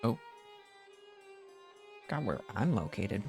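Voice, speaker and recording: A woman's voice: a bass voice, sing-song, takes it slowly, darkish tone, faint music behind. A woman giving casual gameplay commentary, muttering to herself as she streams. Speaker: video game streamer.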